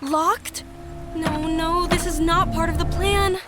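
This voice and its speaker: Excitable, very high-voiced young girl. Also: slow, loud music behind the voice. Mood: alarmed. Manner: exclamatory, dramatic. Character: excitable young girl time traveler hunting for her brother. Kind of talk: audio drama acting.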